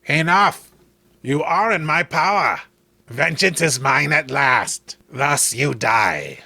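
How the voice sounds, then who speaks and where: A man plays a giant exiled alien titan from a horror comic, grandiose and menacing. The voice is slow, gravelly and forceful, swinging widely as he proclaims his vengeance. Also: a little high, animated, no room sound, heavily acted. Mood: menacing triumph.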